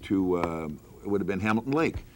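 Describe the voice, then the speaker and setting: Older man with a low voice. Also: expressive, fairly quick. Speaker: veteran radio personality. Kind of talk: reminiscing in a group interview.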